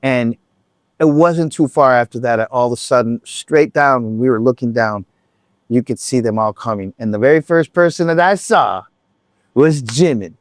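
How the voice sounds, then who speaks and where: Man vlogger, mid-pitched voice, rambling animated storytelling, dry close sound. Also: moderate pace, dry recording. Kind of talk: casual storytelling chat.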